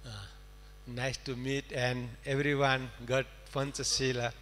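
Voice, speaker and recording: A man from Nepal, a non-native English speaker and Buddhist monk, giving a Dhamma talk in halting short phrases of simple English. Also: medium pitch, little pitch movement, fairly bright tone. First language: not English. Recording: reverberant hall, electrical hum.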